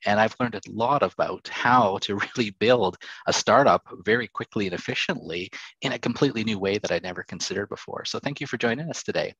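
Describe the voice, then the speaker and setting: A man with a fairly low voice moderates a panel in a conversational style. The sound is dry, with hardly any room to it. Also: fairly quick, a bit bright, breathy edge, no echo, highs cut off.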